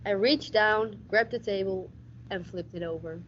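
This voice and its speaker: A woman's voice: medium pitch, moderate pace, natural voice. Radio-play lead: tough police detective teen woman acting, hardboiled narration, occasional stumbles, muffled audio. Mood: dry, hardboiled narration. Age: teens. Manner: stumbles and repeats words while reading. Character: hard-nosed, wisecracking male police detective. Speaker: school radio drama actor. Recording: dull audio with faint noise.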